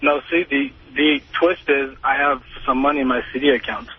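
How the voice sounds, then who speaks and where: A young man in his early twenties, calling a radio show and telling his story casually and haltingly. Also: medium pitch. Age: early 20s. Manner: hesitant, halting. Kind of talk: casual phone confession. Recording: tinny, noisy phone line.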